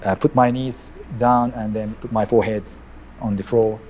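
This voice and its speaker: A man's voice: on the low side, steady tone, a little mellow, slight background noise. Japanese-accented man telling his story. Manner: measured, restarts phrases, searching for words. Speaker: imam.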